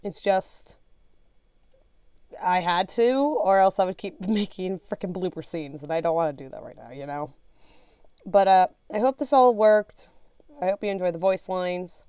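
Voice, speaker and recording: A woman's voice: lowish voice, animated, moderate pace, dark tone, clean tone, no room sound, studio quality. A tired-sounding woman chatting casually to viewers in an apologetic, self-deprecating way. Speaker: amateur voice actor posting character voice lines.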